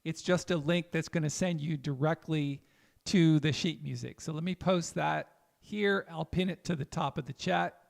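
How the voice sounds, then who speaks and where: A man with a raspy voice, chatting casually while teaching jazz piano on a livestream. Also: a little high, moderate pace. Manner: relaxed, chatty, addresses chat viewers.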